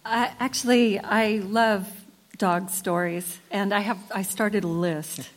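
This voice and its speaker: Woman on a panel, mid pitch, thinking out loud, quick bursts. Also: fairly even intonation. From United States. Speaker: panelist, writer. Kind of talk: panel discussion.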